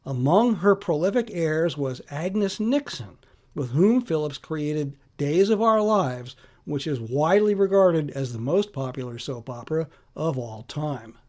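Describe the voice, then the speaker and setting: A man hosting a podcast, reading scripted documentary narration in a measured, deliberate way with emphasis on lists. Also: fairly high pitch, animated, darkish tone.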